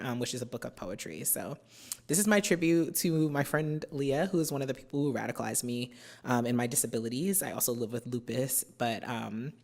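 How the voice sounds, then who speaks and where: An American woman from New Jersey giving a poetry reading, chatting through her introductions with many fillers and reading the verse slowly and with care. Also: extremely low pitch, darkish tone. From New Jersey, USA. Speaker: poet. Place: university symposium venue.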